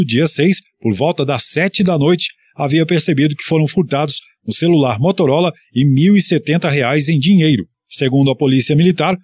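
A man from Brazil reads the police news bulletin on local radio. His voice is husky and a little high for a man, and his delivery stays steady and formal throughout.

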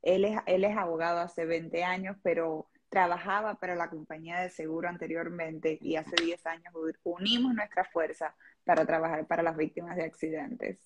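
A woman's voice: on the low side, fairly even intonation, normal speed, breathy edge. A woman in her thirties with a Cuban-tinged Miami Spanish accent, a lawyer explaining things earnestly, step by step, as a radio guest. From Venezuela. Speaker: personal injury lawyer.

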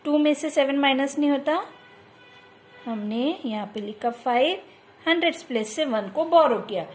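A woman's voice: high-pitched, normal speed, faint music behind. A woman teacher with an Indian accent who explains a maths exercise step by step, mixing Hindi and English. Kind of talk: maths lesson over a workbook.

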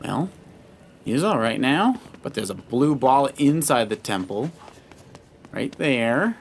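Animated dad coaching a video game. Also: male voice, mid-range voice, takes it slowly, creaky, slight background noise. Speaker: father guiding child through a video game. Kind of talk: casual let's play commentary.

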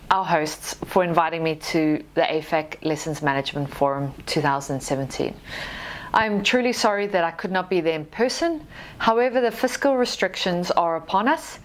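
Low-pitched Zimbabwean woman presenting formally. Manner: formal, measured. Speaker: army lessons manager giving a presentation. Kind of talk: prepared conference presentation. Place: small indoor studio with dark backdrop. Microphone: lapel mic.

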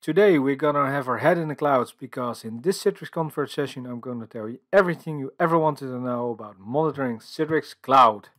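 A man's voice: medium pitch, brisk, hardly any pauses, a bit bright. A man from the Netherlands with a Dutch accent, giving a technical conference presentation over slides in an explanatory way, with many filler sounds. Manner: explanatory, filler-heavy with frequent uhs. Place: voice-over for screen recording and slides.

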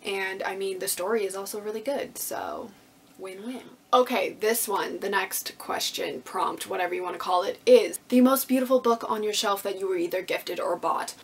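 A woman in her twenties chatting casually to camera as a book vlogger, with an animated, emphatic, slangy way of talking and a husky voice. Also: mid-range voice, moderate pace, a little hiss or hum. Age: 20s. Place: small indoor room with bookshelves.

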